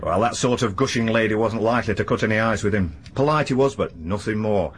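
An actor playing a loyal, deferential manservant who speaks in homely phrases in a radio drama. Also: male voice, lowish voice, fast talker, slightly rough. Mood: respectful. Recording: archive radio drama with light hiss.

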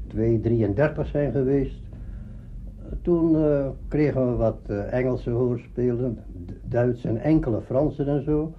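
An older man with a Netherlands Dutch accent recalls his radio work in a halting, conversational way. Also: medium pitch. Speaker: radio drama pioneer recalling his career. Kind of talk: spoken reminiscence. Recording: dull, noisy archive interview recording.

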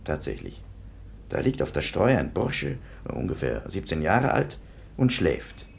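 An actor playing a tired, matter-of-fact police commissioner in a radio play. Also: male voice, deep voice, huge pitch swings, unhurried, creaky, full character voice. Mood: stern.

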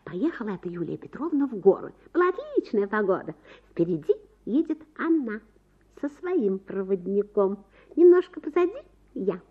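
A woman voicing a coy, self-righteous society wife in a dramatic story reading, gushing and theatrical, with drawn-out words. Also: medium pitch, very animated, breathy edge, narrow, tinny audio. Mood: breathless gossip.

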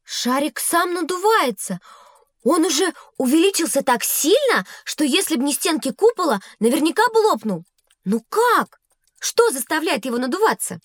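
A woman's voice: high-pitched, sing-song, normal speed, no echo, clean studio sound. A woman playing a curious, clever schoolgirl in an educational audio drama, eager and articulate. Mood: amazed, curious. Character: bright, curious schoolgirl pupil, quick to understand. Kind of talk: scripted audio drama dialogue.

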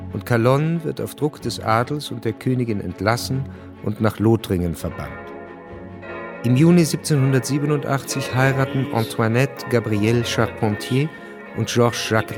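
An actor as a sober chronicler, narrating a radio drama in a factual, composed manner. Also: male voice, mid-range voice, lightly acted. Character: sober male chronicler of historical events.

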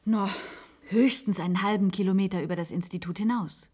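An actress playing a wistful, resigned former secretary in a German science-fiction radio play, confiding in halting phrases. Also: female voice, mid-range voice, a little mellow, breathy edge, lightly acted. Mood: matter-of-fact. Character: wistful former secretary, resigned patient living in an isolated institute.

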